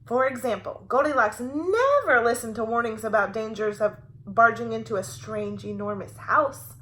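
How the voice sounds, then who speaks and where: A woman reading a picture book aloud to preschoolers as a teacher, with an expressive, playfully wry delivery. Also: on the high side, moderate pace. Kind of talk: animated picture-book read-aloud for young children.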